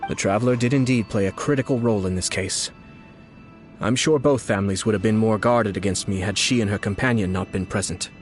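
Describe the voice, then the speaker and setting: An actor playing a composed, shrewd young nobleman in a game, courteous and measured, with polished formal speech and a quietly scheming, teasing air. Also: lowish voice, soft background music, natural voice. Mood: gracious, assured. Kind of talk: scripted game dialogue.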